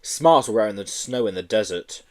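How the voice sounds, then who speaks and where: Lively male storybook narrator. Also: on the low side, fairly quick. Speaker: audiobook reader. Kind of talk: expressive children's story narration.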